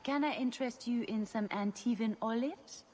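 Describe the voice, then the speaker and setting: A woman's voice: fairly high pitch, normal speed, warm tone, slight background noise, natural voice. An actress playing a polite serving woman, courteous and matter-of-fact, in a video game. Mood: deadpan courtesy.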